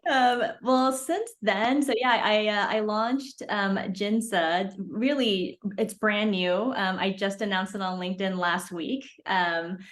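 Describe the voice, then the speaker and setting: A woman's voice: mid-range voice, slow, few pauses. A woman in her forties from Hong Kong, chatting as a podcast guest, talking freely with many fillers. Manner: chatty, many fillers, laughs between phrases. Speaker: podcast guest, beverage founder. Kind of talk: casual interview chat.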